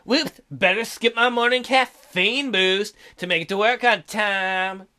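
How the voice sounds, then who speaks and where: A man's voice: high-pitched, measured pace, bright, forceful tone. A man doing a let's play of a visual novel, reading it out and throwing in irreverent, crude wisecracks. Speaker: let's player.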